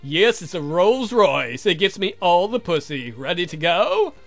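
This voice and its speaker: A man's voice: high-pitched, very animated, measured pace, no room sound, faint music behind, full character voice. A man voicing a clumsy, flirtatious vampire count from a game, grumbling and swearing theatrically. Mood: suave flirting.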